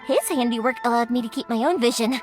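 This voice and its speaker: A woman's voice: on the high side, fast, over music, natural voice. A woman playing a cheerful, eager young fireworks shop owner in a video game, with a breezy, colloquial delivery. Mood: bashful, modest. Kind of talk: animated video game voice acting.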